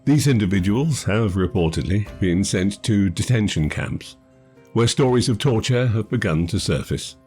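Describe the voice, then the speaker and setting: A man reading scripted documentary narration in a measured, formal manner. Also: lowish voice, animated, darkish tone. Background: background music.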